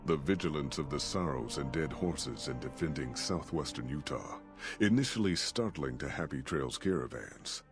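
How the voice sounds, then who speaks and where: A man narrating a game epilogue in a steady, storytelling way, over game music. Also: a bass voice, normal speed.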